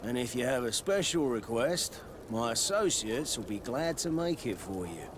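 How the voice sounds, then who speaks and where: A man plays a guarded, serious man of a fantasy game town. His voice sits at a middle pitch, with a formal and wary manner. Faint ambient noise runs behind the dialogue. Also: big pitch swings, moderate pace, lightly acted. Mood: salesmanlike. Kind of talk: game cutscene acting.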